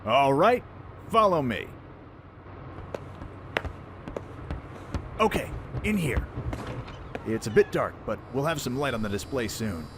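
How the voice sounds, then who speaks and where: Man acting a cocky, lively young pirate prince. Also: medium pitch, moderate pace, noisy background, lightly acted. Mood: upbeat, casual. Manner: animated, cocky, informal. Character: brash young male pirate prince, friendly and eager. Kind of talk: fan audio drama acting.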